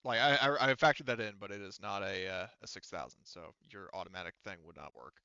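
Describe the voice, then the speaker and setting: A man's voice: fairly low pitch, fast, sharp tone, clean tone, no echo, muffled recording. A man casually running a tabletop game as game master, rambling and swearing freely.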